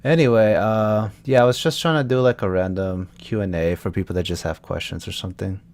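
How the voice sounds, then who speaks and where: A man's voice: lowish voice, fairly even intonation, fairly quick, darkish tone. A young man from Texas, a voice actor chatting casually with viewers on a live stream and answering their questions. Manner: chats loosely, answering viewers' questions as they come.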